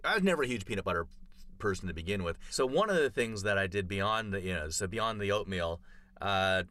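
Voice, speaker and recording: A man's voice: on the low side, expressive, fairly quick, a bit bright. A man chatting casually on a podcast, telling a long story full of fillers and restarts. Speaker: podcast co-host. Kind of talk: casual chat.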